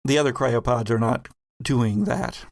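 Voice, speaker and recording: A man runs a tabletop horror game, narrating at a slow pace with deliberate, suspenseful pauses. His voice sits at a middle pitch and is recorded dry and close. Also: fairly even intonation, creaky, no echo. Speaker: game master.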